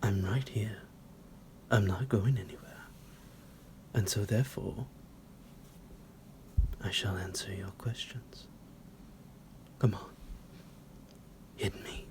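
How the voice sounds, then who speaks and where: A man playing a centuries-old psychotic vampire captor in an ASMR roleplay, possessive and mock-tender, speaking theatrically in a breathy, gravelly whisper that drops into a low creak. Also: noisy background. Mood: playful, menacing. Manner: slow, theatrical, menacingly affectionate, chuckling. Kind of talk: ASMR roleplay addressed to the listener.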